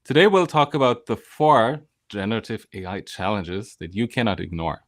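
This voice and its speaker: A man with a fairly low voice hosts a live show over a video call, upbeat and energetic as he keeps things moving. His voice sounds close and dry, with no room around it. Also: normal speed, no echo. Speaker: host. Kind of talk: live show hosting, casual.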